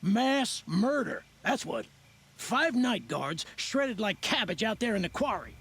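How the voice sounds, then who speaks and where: An actor playing a rattled quarry worker in a video game, blunt, sarcastic and agitated. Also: male voice, high-pitched, sing-song, unhurried, vocal fry, a bit bright, husky, heavily acted. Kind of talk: video game character dialogue.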